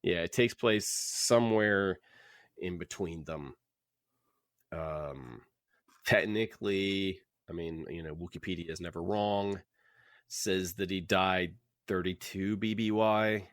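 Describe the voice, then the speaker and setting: Podcast host, male, on the low side, dry close sound, halting and conversational. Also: fairly bright tone, no room sound. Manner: rambling, halting, thinking aloud. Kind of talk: casual book club podcast chat.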